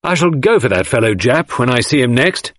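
A man voicing a good-natured gentleman in an audiobook reading, speaking in indignant, clipped exclamations. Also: mid-range voice, big pitch swings, a little fast, no echo, studio quality, voice pushed up. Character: good-natured country gentleman, now irritated.

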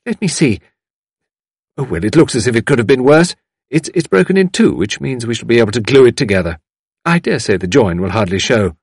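A man voicing a brisk, kindly, commanding mistress of the house, reassuring in manner, as an audiobook character. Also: mid-range voice, lively intonation, breathy edge, no room sound, clean studio sound, voice pushed up.

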